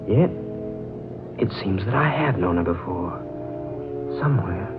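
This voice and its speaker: An actor playing a courteous, halting gentleman visitor in a 1940s radio drama. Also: on the low side, lively intonation, over loud music. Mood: pensive, puzzled. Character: Duke, courteous gentleman visitor, puzzled and smitten. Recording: muffled, hissy 1940s radio recording.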